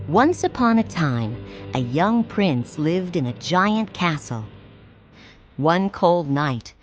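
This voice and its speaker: Slow, expressive woman reading a storybook. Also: low voice, highs cut off. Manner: clear, measured, expressive. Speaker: storybook narrator. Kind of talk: slow read-along storytelling.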